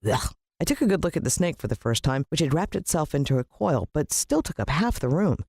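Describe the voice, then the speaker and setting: A woman with an American accent giving a dramatic, expressive scripted narration of a children's story. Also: low voice, a little fast, dry recording, studio quality. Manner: dramatic, playing a child's first-person point of view.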